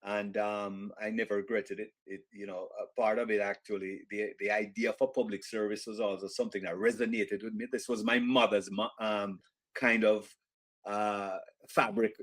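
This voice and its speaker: A middle-aged man with a Jamaican accent, answering interview questions in a measured, reflective way, often pausing with fillers and restarts. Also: fairly low pitch, little pitch movement, fairly bright tone. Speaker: interview guest, author.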